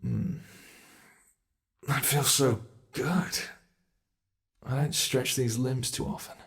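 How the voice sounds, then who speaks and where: A man voicing a gleeful, menacing, centuries-old monster under the bed in an ASMR horror roleplay, teasing in a whispery way with drawn-out words. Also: medium pitch, steady tone, lightly acted. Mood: smug, gloating.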